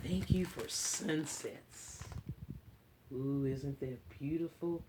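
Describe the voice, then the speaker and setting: A woman hosting a children's story time, reading a picture book aloud in an expressive, drawn-out way with chatty, encouraging asides. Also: low voice, noisy background.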